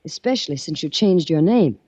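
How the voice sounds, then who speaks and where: A woman's voice: sits low, expressive, a little fast, mellow, breathy edge, dry recording. A cold, vengeful young gunwoman. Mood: cold threatening. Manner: cold, clipped, threatening. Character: vengeful young woman holding a gun. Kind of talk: 1940s radio acting. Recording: dull old radio broadcast.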